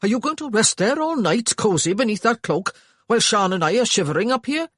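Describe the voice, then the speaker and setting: Narrator doing gruff Welsh young man, loud scolding delivery, lively swinging pitch, husky edge, dry storybook recording. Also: brisk, dry recording. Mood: stiff.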